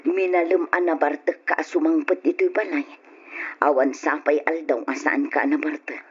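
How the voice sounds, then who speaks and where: An actress playing a worried, nagging wife in an old radio drama, plaintive and scolding, with a husky voice. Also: female voice, low voice, steady tone, normal speed, dull audio, slight background noise, close to an everyday voice. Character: worried, nagging wife pleading with her drunkard husband.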